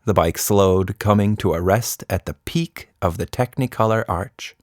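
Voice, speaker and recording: A man narrating a scripted children's story as a storyteller, in an expressive, deliberate manner. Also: on the low side, no room sound, clean studio sound.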